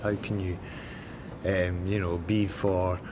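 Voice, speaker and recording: A man in a workshop answering haltingly, sounding puzzled. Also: low-pitched, flat delivery, noisy background. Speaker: interviewee. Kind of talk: workplace interview.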